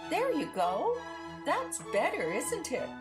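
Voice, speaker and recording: A woman's voice: high-pitched, wildly swooping pitch, slow pace, over music, voice pushed up, exaggerated voice. Woman as kindly dog owner, lilting and coaxing. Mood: reassuring, pleased. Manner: coaxing, reassuring, gently explaining. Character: friendly, affectionate man talking to his pet dog.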